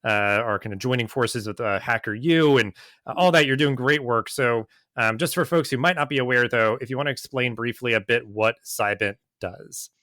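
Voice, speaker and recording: A man's voice: medium pitch, normal speed, fairly bright tone, no room sound, studio quality. A man hosting a podcast in a casual interview chat, rambling with lots of hesitant fillers. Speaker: podcast host.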